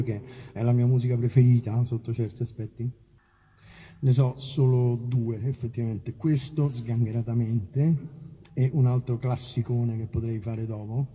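An Italian man, a musician, chatting informally between songs in a halting, self-deprecating, rambling way. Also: medium pitch, dark tone, slightly rough. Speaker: musician performing and introducing songs. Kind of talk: casual rambling stage talk between songs. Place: outdoor festival concert.

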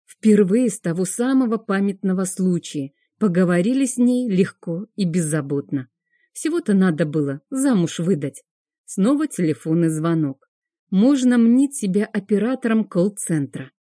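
A woman's voice: mid-range voice, lively intonation, moderate pace, mellow, dry recording, studio quality. A woman reading a scripted first-person story as an audiobook narrator.

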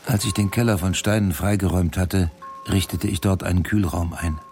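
Man playing a solitary farmer, deep voice, measured reflective narration, radio drama, faint music. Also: fairly even intonation, a little mellow, close to an everyday voice. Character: solitary, hard-working farmer recalling his labours.

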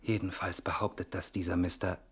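A man acting in a 1960s German radio play as a wary, brooding nephew, terse and questioning, with a husky voice. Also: low voice, flat delivery, fairly quick, heavily acted. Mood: hushed, pondering. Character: wary, brooding male nephew puzzling over a stranger.

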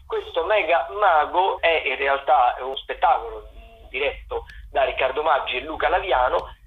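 A man's voice: fairly high pitch, moderate pace. A man presenting theatre listings as a chatty, playful critic over a phone line. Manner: playful, discursive, with asides. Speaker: theatre critic, radio contributor. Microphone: telephone.

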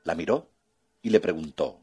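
Male narrator for a children's audiobook, husky, very animated. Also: extremely low pitch, moderate pace. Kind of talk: children's storytelling.

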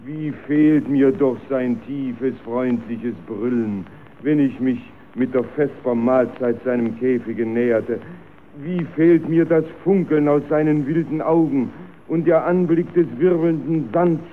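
A muffled old radio drama recording of a sad, devoted zookeeper. He declaims slowly and plaintively, in florid phrases. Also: fairly high pitch, slight background noise. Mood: mournful. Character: sorrowful, devoted male zookeeper mourning his lion. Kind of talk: old German radio play monologue.

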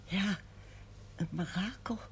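Frail, very slow-speaking ailing woman. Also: medium pitch, a little hiss or hum. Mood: tender, weak. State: weak, in pain. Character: weak, ailing woman in bed, tender and wistful. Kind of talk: television period drama acting.

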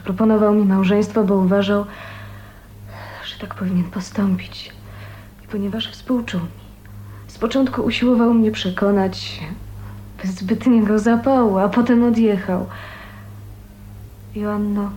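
An actress in a radio drama playing a gentle, dying young woman who confides hesitantly and earnestly. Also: mid-range voice, a little slow, pauses often, close to an everyday voice. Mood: wistful, confessing. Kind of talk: 1980s radio drama acting. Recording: faint noise on radio recording.

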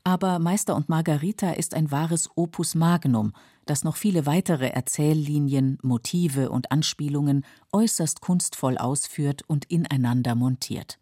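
A woman reading scripted documentary narration for a literary radio feature in a measured, factual, clearly articulated way. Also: low-pitched, dark tone, no room sound, studio quality.

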